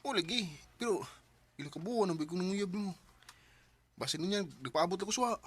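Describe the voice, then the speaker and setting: A man with a Cebuano Filipino accent acting an eager, lovestruck young student in a radio drama, husky and emotive. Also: high voice, expressive, brisk. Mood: pleading, resigned. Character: eager lovestruck young male student courting his girlfriend.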